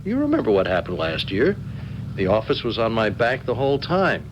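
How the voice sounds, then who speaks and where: An actor playing a reassuring, slightly exasperated American husband in a radio drama. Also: male voice, lowish voice, huge pitch swings, normal speed. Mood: impatient. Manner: explanatory, reassuring, a bit impatient. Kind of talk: 1970s radio drama acting. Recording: dull, noisy 1970s radio broadcast.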